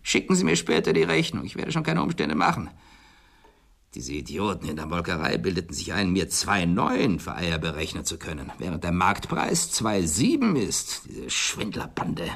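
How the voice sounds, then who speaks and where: A cranky, eccentric old rich man in a radio drama. His husky voice lurches wildly up and down, barking abruptly and then dropping to a hush. He sounds suspicious and haughty. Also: low voice, sing-song, normal speed, heavily acted. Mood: boastful, cranky. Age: older. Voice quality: hoarse, breathy, cracking voice.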